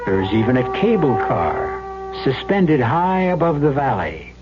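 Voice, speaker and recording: An actor playing the scene-setting narrator of an old-time radio drama, with scripted delivery. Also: male voice, mid-range voice, sing-song, measured pace. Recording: dull old radio recording with music.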